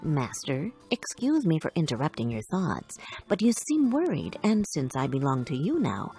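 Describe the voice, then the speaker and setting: A woman's voice: low voice, huge pitch swings, moderate pace, dark tone, faint music behind, exaggerated voice. A woman voicing a cunning, polite male cat servant in a children's storybook, speaking in an earnest, persuasive, courtly manner. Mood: courteous.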